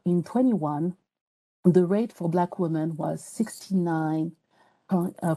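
A woman's voice: lowish voice, warm tone, poor audio. A woman from France with a French accent, a podcast guest speaking earnestly and reflectively, with frequent hesitations and restarts. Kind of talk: personal interview talk.

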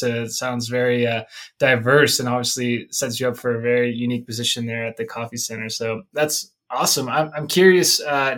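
A man's voice: medium pitch, fairly bright tone. A man hosting an interview podcast in an upbeat, informal and enthusiastic manner.

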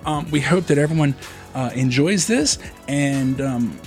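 Male podcast co-host, relaxed and chatty, medium pitch. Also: a little slow, faint music behind. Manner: easygoing, filler-heavy intro. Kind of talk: casual podcast chat.

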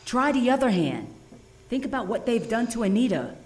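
A cheeky, lively female sidekick. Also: on the high side, normal speed. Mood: wry, alert. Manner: playful, teasing. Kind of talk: audio drama dialogue.

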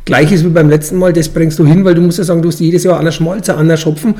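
A man's voice: a little high, fairly even intonation, fast, dark tone. A man in his forties with a Franconian accent, explaining his craft in casual, colloquial dialect as a brewmaster being interviewed. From Franconian Switzerland, Bavaria, Germany. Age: 40s. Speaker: brewmaster and brewery owner, interviewee.

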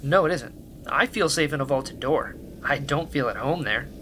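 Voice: medium pitch, lively intonation, normal speed, noisy background, lightly acted. An actor playing a nervous, inquisitive explorer in a sci-fi radio drama, with a hesitant, questioning manner. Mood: questioning, insistent. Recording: music behind the voice.

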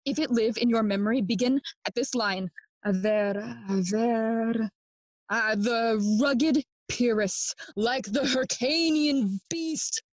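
A brooding, mocking young prince in a Shakespearean audio play. The voice is fairly high and takes its time over each theatrical phrase, recorded close and dry. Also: female voice, no echo. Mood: wry, welcoming. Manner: theatrical, deliberate verse delivery. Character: Prince Hamlet, brooding, mocking young nobleman feigning madness. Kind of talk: Shakespearean audio play acting, bilingual English and Spanish.

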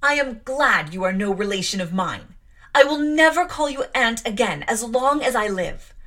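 Woman reader doing an impassioned young girl, bright, raised, accusing. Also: a little high, very animated, normal speed, heavily acted. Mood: furious. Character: defiant furious young girl, passionate and wronged.

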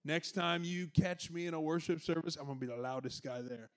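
A male pastor with a notably high-pitched voice preaches to a congregation. He has a loud voice and a conversational, energetic delivery full of playful asides. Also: a little fast. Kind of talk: sermon.

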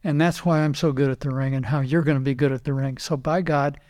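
An older man and retired racing driver, a podcast guest telling animated anecdotes, quoting others and often repeating words. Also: medium pitch, fast talker. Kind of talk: casual storytelling interview.

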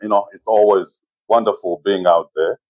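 A man in his forties with a Zimbabwean accent, speaking as an interview guest in a measured, halting way and often saying "you know". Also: on the low side, fairly even intonation, dry recording, narrow, tinny audio. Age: 40s. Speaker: interview guest, safari business owner. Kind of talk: conversational interview, personal recollection.

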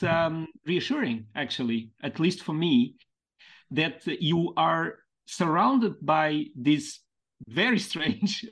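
A man from Portugal with a Portuguese accent, a podcast guest speaking English as a second language in a thoughtful, halting way, stretching out key words. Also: on the high side, fairly bright tone. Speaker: podcast guest, mentoring founder. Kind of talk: reflective conversational interview.

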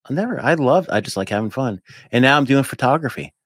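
A man in his fifties, a podcast guest, chatting casually in a rambling, animated way with lots of fillers. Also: medium pitch, fast, no room sound, studio quality. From United States. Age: 50s. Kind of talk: casual chat.

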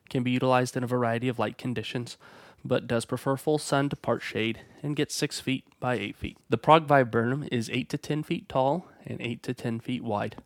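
A man with a stuffed-up voice from a cold hosts a nursery's weekly plant feature. He reads his notes in a steady, informative way at an ordinary middle pitch. The sound is dry, with no room echo. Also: a little fast. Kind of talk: reading plant guide notes.

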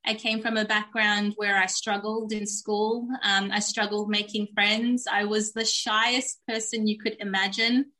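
A woman's voice: mid-range voice, level tone, unhurried, few pauses, bright, forceful tone. A woman, a Turkish speaker, telling her life story in an earnest, reflective way as an interview guest. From Australia. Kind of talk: candid personal storytelling in a podcast interview.

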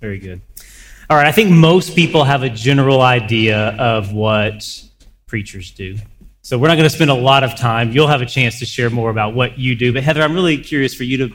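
A man hosting a casual live interview on a church stage, speaking informally and haltingly, often repeating phrases. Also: mid-range voice, fairly bright tone. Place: large church room, on stage. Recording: echoey hall, clear background noise.

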